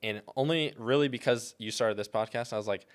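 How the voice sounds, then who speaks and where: A man's voice: medium pitch, fairly quick, smooth. A man with an American accent chatting casually as a podcast guest, telling things in a rambling, informal way peppered with "like" and "dude".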